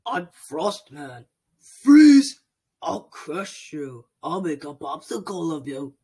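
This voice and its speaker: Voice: mid-range voice, big pitch swings, takes it slowly, slightly rough, heavily acted. A voice actor playing a big, blustering male ice robot who barks simple threats. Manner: loud, blunt, simple threats.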